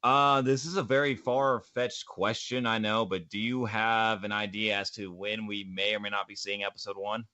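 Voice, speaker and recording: A man hosting a podcast in casual chat, rambling and repeating his phrases over a low-fidelity voice call. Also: flat delivery, moderate pace, few pauses, bright, forceful tone, no room sound. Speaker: podcast host. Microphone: voice call mic, close.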